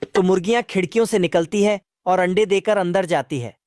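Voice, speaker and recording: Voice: mid-range voice, a little fast, loud music behind the voice. A narrator recapping a cartoon in a casual, chatty way with a bit of street slang. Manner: asks viewers to comment.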